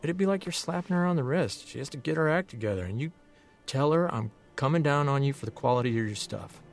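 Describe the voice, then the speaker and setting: Male editor for audio drama: average pitch, persuasive old pal, placating and gently teasing. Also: animated, fast, creaky, soft background music. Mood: concerned, pushy. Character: coaxing, concerned male newspaper editor, old friend. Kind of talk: naturalistic audio drama acting.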